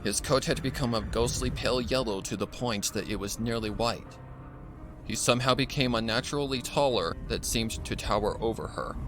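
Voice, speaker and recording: A man reading a scripted horror story as narrator in a deliberate storytelling manner. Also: medium pitch, noisy background.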